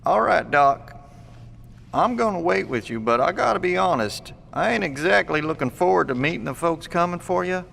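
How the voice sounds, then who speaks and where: A man plays a folksy survivalist in an audio drama, blunt but friendly. His voice is creaky and gravelly, a little high for a man. It rings with long reverb, as in an empty hallway. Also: animated, normal speed, fairly bright tone, full character voice. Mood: suspicious, candid. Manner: folksy, colloquial, plain-spoken.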